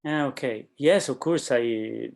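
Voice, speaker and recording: A composer being interviewed over a video call, who speaks haltingly in non-native English and often stops to find the next word. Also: male voice, mid-range voice, vocal fry, a little mellow. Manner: halting, searching for words, non-native phrasing. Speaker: guest composer. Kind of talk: casual interview answers.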